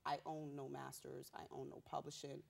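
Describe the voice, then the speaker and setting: A young woman rapper from Haiti, a Haitian Creole speaker, telling her story in a bubbly, animated way with street slang. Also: a bass voice, moderate pace, vocal fry. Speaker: rapper guest. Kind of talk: casual interview.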